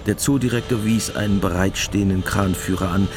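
A man with a deep voice reads the scripted narration of a radio drama. Music plays clearly beneath his words. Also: a little fast.

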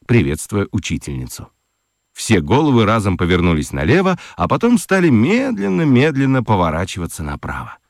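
A man narrating a children's radio play in a gently ironic, highly expressive storytelling manner. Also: no echo, studio quality.

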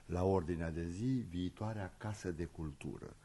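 Husky, flustered, evasive theatre director. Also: male voice, low voice, warm tone, exaggerated voice. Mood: nervous, evasive. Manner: stammering, throat-clearing, defensive. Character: evasive, flustered, touchy male theatre director under suspicion. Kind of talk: radio play acting, comedy.

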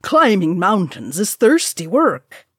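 A woman's voice: medium pitch, sing-song, slow pace, a bit bright, breathy edge, no room sound, clean studio sound, voice pushed up, full character voice. A woman voicing a young male dwarf warrior in a storybook reading, hearty, bragging and teasing. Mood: merry, teasing.

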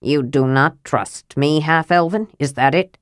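A man's voice: on the high side, measured pace, fairly bright tone, clear, resonant tone, no echo, clean studio sound. A woman narrator playing a proud, earnest male knight in a fantasy audiobook, urgent and formal. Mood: offended.

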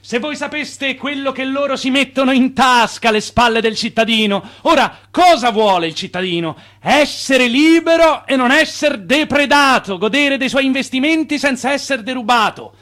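Radio drama: confident swindler financier, immigrant made good, high voice, persuasive showman. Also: expressive, moderate pace, sharp tone, full character voice. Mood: fiery, indignant. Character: Charles Ponzi, self-assured Italian immigrant financier and swindler.

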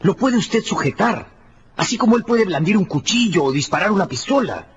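An actor playing an educated, alarmed doctor in a radio drama, speaking in an insistent, emphatic way. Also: male voice, high voice, lively intonation, normal speed, a little hiss or hum. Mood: urgent, insistent. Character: alarmed, urgent educated doctor, man of science. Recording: dull radio sound, faint music.

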